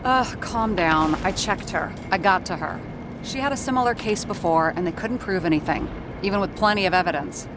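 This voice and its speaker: A woman's voice: medium pitch, normal speed, background noise, close to an everyday voice. An actress playing a tough, guarded young woman from the slums in a noir video game, speaking with a dry, determined manner. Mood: dry, composed. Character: tough, guarded slum-born young woman, vengeful liaison. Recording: loud city and vehicle ambience.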